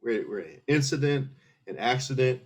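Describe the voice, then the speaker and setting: A man's voice: medium pitch, expressive, normal speed. A middle-aged man from Philadelphia, an army veteran guest in an interview, talking earnestly and explaining things step by step with many restarts. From Philadelphia, USA. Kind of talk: casual interview conversation.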